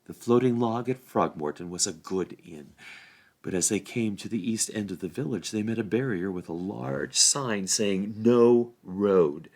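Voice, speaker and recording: A man reading a storybook aloud as narrator, in an expressive storytelling style. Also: fairly low pitch, moderate pace, gravelly. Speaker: volunteer story reader.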